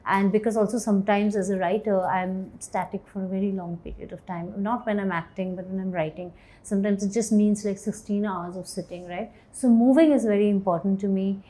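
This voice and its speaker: A woman in her forties, an actor and writer, speaking candidly in an interview with a low voice, thoughtful pauses and easy laughter. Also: steady tone, warm tone. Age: 40s. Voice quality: low voice, self-described as bass. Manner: thoughtful, hesitant, laughs easily.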